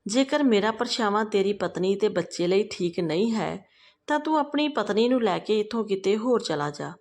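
Woman acting out an older widowed mother, firm, defiant, pointed delivery, mid pitch, audio storybook. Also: animated, normal speed, a little mellow.

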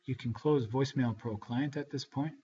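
Guy doing a how-to software voiceover, measured step by step, kinda dull lo-fi mic sound. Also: mid-range voice. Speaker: software tutorial instructor. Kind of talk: step-by-step scripted tutorial narration. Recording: dull, lo-fi screencast voice-over.

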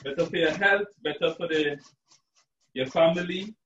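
A man from Trinidad who works as a chef explains his cooking in a relaxed, chatty way. His voice sits fairly high. The sound is roomy and dull, picked up by a camera across the kitchen. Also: normal speed, recorded from a distance, a little hiss or hum. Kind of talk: live cooking demo. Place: home kitchen indoors. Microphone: far camera, video call.

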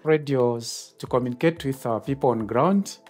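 A middle-aged Zambian man speaks at a medium pitch with soft music underneath. His delivery is formal and methodical, that of a controller explaining procedures in an interview. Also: normal speed. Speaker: air traffic controller, tower. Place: glass-walled control tower room, indoors. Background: background music.

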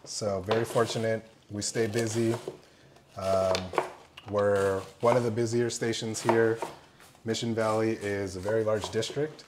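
Young firefighter guy, lowish voice, polite and explanatory, big station kitchen with noise behind. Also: level tone, unhurried. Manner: polite, explanatory, says "yes, ma'am". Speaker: firefighter-paramedic. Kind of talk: casual cooking chat. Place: large fire station kitchen, indoors. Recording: clear background noise.

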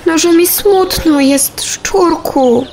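A woman's voice: extremely high pitch, slow pace, noisy background. A woman voicing a shy, sad little fly with a buzzing stutter in a children's audio play. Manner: stuttering buzz on words. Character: shy, sad little female fly who sings blues.

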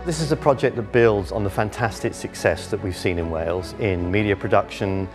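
A man's voice: on the low side, brisk. A man speaking confidently and upbeat in an interview. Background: music.

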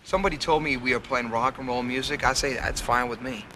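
Young man, rock singer interview, loose rambling talk, mid-pitched voice. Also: fast talker, over loud music. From Denmark. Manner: relaxed, rambling with fillers and restarts. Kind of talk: casual interview, reminiscing.

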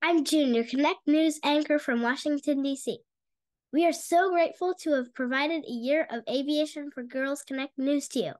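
A girl in her early teens presents a youth news show with a high, light voice. She reads her script eagerly over a home webcam. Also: normal speed, a little mellow. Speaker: news anchor.

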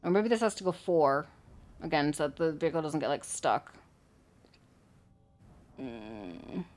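A woman's voice: deep voice, big pitch swings, unhurried, darkish tone, faint music behind. A young woman streaming a game from home, chatting to herself as she builds, her voice a little worn. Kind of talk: casual gameplay commentary.

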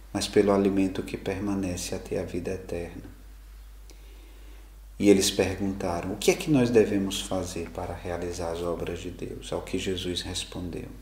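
A middle-aged male priest giving a homily, measured and reflective, with slow emphatic pauses. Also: deep voice, darkish tone. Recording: echoey room, faint background noise.

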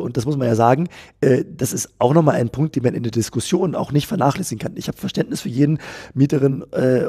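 A man being interviewed about policy, answering at length in a measured way, often repeating phrases and using fillers. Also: medium pitch, warm tone, slightly rough. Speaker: politician guest. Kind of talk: political interview.

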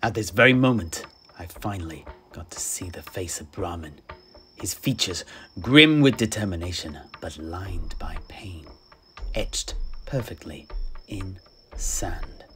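Deep, slow-speaking male fantasy storyteller. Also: expressive, pushed and bright, soft background music. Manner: theatrical, deliberate, long pauses. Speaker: storyteller, host. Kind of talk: dramatic first-person fantasy narration in character.

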